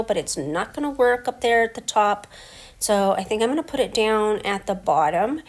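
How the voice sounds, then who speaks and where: A middle-aged woman with a stuffy head cold, talking through her scrapbook layout step by step in a relaxed voice-over. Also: medium pitch. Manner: relaxed, explains each step as she works. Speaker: scrapbooking crafter. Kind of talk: casual craft voice-over.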